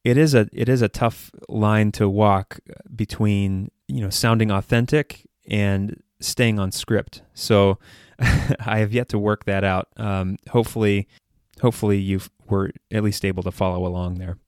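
Low, smooth-voiced male podcast host. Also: fairly even intonation, a little slow, darkish tone, dry recording, studio quality. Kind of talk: solo podcast talk, partly scripted.

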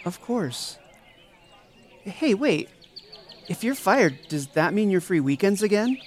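A man acting in an audio drama as a caring, excitable and devoted young friend, earnest and expressive. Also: sits high, takes it slowly, heavily acted. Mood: gentle, reassuring.

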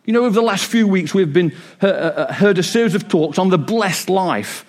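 A man preaching a sermon in church, a bit croaky, with a joking, warm delivery. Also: high voice, expressive, moderate pace. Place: church hall with congregation. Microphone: wireless mic.

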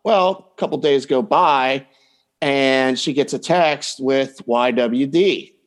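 A man's voice: medium pitch, takes it slowly. A man speaking as a coach and podcast guest, giving advice in a casual, anecdotal way. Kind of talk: casual advice chat, telling client anecdotes.

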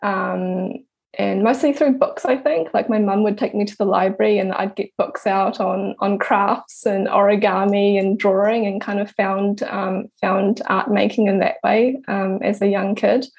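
A young woman in her twenties with a New Zealand accent, telling her own story in an interview. Her voice sits at an ordinary middle pitch with a gravelly, creaky edge, and she talks hesitantly, full of ums and restarts. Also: steady tone, unhurried, darkish tone. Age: 20s. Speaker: guest, artist. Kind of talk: casual interview answers.

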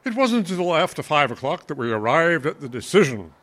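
A man playing a brisk, formal school board official who gets flustered, in an old-time radio comedy. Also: a little high, sing-song, fairly bright tone.

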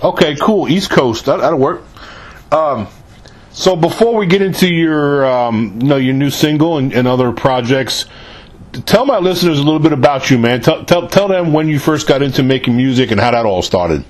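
A man's voice: fairly high pitch, expressive, moderate pace. A man hosting a casual interview show, asking questions in an informal, chummy way with plenty of "man.". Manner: informal, often says "man".

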